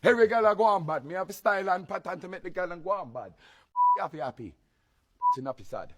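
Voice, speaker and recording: A man's voice: high-pitched, lively intonation, fast talker, a bit bright, no room sound. A man in playful patois studio banter. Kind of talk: casual recording-session chat.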